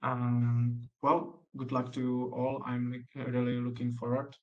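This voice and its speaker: A man's voice: mid-range voice, completely flat delivery, takes it slowly, muffled recording. A young man, a law student, introducing himself informally before a debate on a call. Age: young adult.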